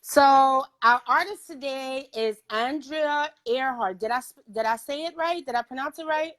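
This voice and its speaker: A woman's voice: high voice, normal speed, fairly bright tone. A woman podcast host with a New York accent, hosting a casual interview in a chatty, informal way. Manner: chatty, informal, talks in bursts.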